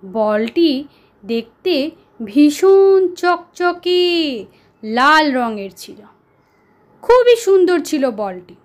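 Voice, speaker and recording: A woman narrating a children's story as a storyteller, deliberate and pausing for dramatic effect. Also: sits high, big pitch swings.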